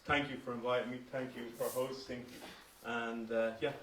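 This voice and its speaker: A man's voice: mid-range voice, level tone, moderate pace, fairly bright tone. A man giving a short, friendly but hesitant speech full of ums at an evening event. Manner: hesitant, friendly, filler-heavy. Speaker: cricket club member, guest speaker. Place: function hall. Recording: roomy hall from a distance, faint noise.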